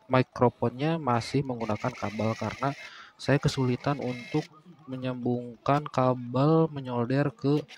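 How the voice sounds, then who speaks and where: A man's voice: medium pitch, darkish tone. A man narrating a casual DIY tutorial in an explanatory way, often repeating phrases. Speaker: DIY vlogger.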